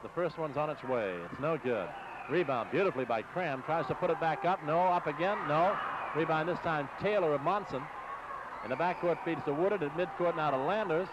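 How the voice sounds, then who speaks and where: American guy calling a hoops game on 60s am radio, higher pitched voice, clipped phrases, really noisy. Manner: clipped, rapid-fire short phrases. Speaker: radio play-by-play announcer. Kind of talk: live basketball play-by-play commentary. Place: basketball game in a gym. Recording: muffled noisy 1960s AM radio broadcast.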